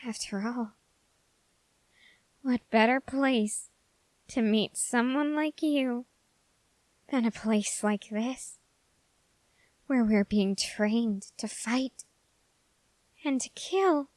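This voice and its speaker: A woman playing an obsessive young male soldier fascinated by demons in an ASMR audio roleplay, with intense, halting, formally worded delivery straight to the listener. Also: a little high, a little mellow, dry recording. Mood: eager, ecstatic.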